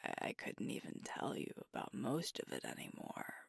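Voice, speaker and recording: A woman voicing a sweet, tender werewolf girlfriend in an ASMR roleplay, speaking in a soft, breathy whisper with gentle, intimate storytelling. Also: moderate pace, no room sound, studio quality, close to an everyday voice. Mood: gentle nostalgic.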